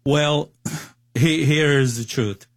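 A man's voice: fairly high pitch, steady tone, takes it slowly, no room sound. A man in his sixties from Cuba with a Cuban Spanish accent, a guest giving his personal testimony in an interview, hesitant and full of fillers and restarts.

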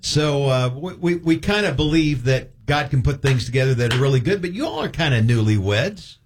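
Older male host, chatty and easygoing, lively up-and-down voice, stumbles over his restarts. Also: mid-range voice, moderate pace, slight background noise. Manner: chatty, stammering restarts, laughs easily.

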